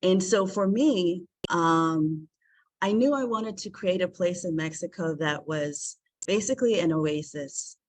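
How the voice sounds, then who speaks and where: A woman's voice: on the low side, unhurried, no echo. A woman from South Africa giving an earnest presentation over a video call, with frequent ums and uhs. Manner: warm, earnest, many filler ums. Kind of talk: presentation to an online group.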